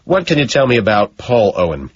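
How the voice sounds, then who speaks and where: A man's voice: mid-range voice, very animated, brisk, slightly rough, muffled recording, voice pushed up. A man voicing a polite, tentative investigator who asks probing questions, in audiobook character dialogue.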